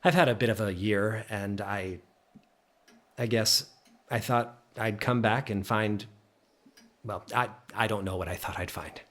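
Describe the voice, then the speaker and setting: An actor playing a troubled, bookish, melancholy man in an audio drama, speaking and narrating in a halting, introspective way. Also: lowish voice, a bit bright. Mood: wistful. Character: troubled, bookish, melancholy man revisiting childhood holiday guesthouse.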